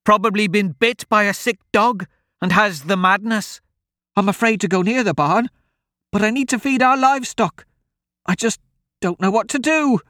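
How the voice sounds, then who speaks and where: A man's voice: high-pitched, normal speed, sharp tone, no echo, studio quality, pitched above the performer's own voice. A man voicing a frightened old farm woman in an audiobook, with urgent, folksy, rustic talk. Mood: anxious.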